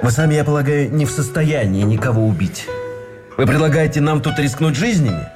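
An actor playing a cynical, fatalistic gambler officer in a radio drama, mocking and theatrical, with a husky voice. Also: male voice, on the high side, expressive, fairly quick, close to an everyday voice. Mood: scornful. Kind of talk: Russian radio drama acting. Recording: faint music behind the voice.

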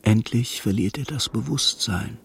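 An actor narrating a dark literary radio play as a solemn, measured storyteller. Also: male voice, fairly low pitch, creaky, husky, exaggerated voice. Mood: ominous.

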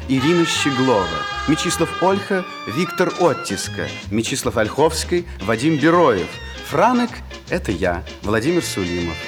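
A man giving a measured, theatrical, formal cast announcement on an old 1960s radio recording. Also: fairly high pitch. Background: music.

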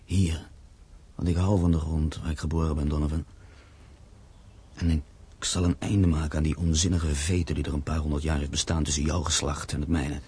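A man's voice: a bass voice, little pitch movement, normal speed, a little mellow, husky, full character voice. A man acting in a Dutch radio drama as a proud, grieving castle owner, speaking solemnly and emphatically. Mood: resolute, idealistic.

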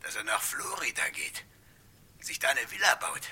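A man playing a talkative, deferential barkeeper informant in a German radio crime drama, raspy and halting. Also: mid-range voice, big pitch swings, bright, forceful tone, full character voice. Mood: gossipy. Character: chatty, hesitant male barkeeper informant.

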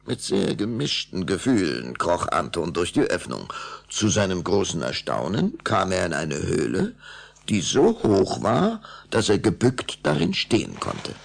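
A man's voice: deep voice, huge pitch swings, unhurried, gravelly, slightly rough. A man narrating a children's audio drama in German as a suspenseful storyteller.

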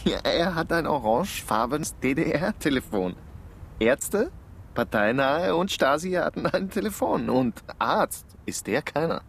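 A drunk, sheepish young actor whose pitch swings up and down as he talks. His speech is hesitant and loose, in a radio drama scene. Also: very animated, measured pace, vocal fry, slight background noise, exaggerated voice. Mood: tipsy.

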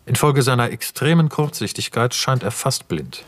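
A man's voice: medium pitch, lively intonation, normal speed, natural voice. A man narrating scene and camera directions in a radio play, detached and scripted. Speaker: narrator reading screenplay directions.

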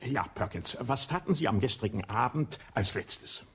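An actor playing a shrewd police inspector in a radio play, with a husky voice, probing and ironic. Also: male voice, mid-range voice, expressive, moderate pace.

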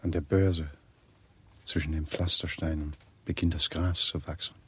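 A man playing a dead plague victim in a radio play, solemnly and deliberately looking back on life. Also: rumbling low, flat delivery, a bit bright, echoey room, recorded from a distance.

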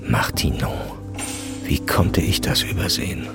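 Very deep, gravelly brooding investigator. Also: male voice, lively intonation, measured pace, full character voice. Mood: grim, brooding. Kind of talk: radio drama acting. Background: music.

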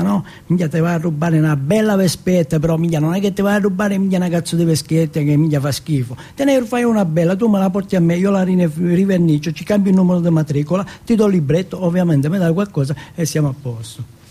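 A sly young street mechanic, acted by a man with a husky, mellow voice. He wheels and deals in slangy talk peppered with swearing, as in a stage monologue. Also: sits high, quick pace. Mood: sly, persuasive. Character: streetwise young grease-stained mechanic fencing stolen scooters.

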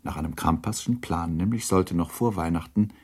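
A man narrating a literary radio drama in a measured, formal manner. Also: deep voice, a little mellow, husky.

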